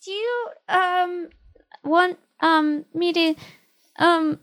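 Woman voicing a high-pitched, hesitant little girl. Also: fairly bright tone, full character voice. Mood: shy, hesitant. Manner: halting, wary. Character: hesitant small five-year-old girl.

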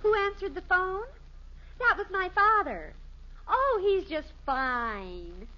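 An actress playing a touchy, self-absorbed American teenage daughter with breezy insistence in a 1950s radio comedy. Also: female voice, very high-pitched, very animated, slow pace, heavily acted. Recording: dull old radio recording.

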